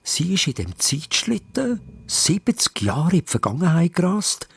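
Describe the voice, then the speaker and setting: A man's voice: a little high, big pitch swings, measured pace, gravelly, a bit bright, breathy edge, no room sound. A man with a Swiss German accent narrating a children's story dramatically, in short, suspenseful phrases. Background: music.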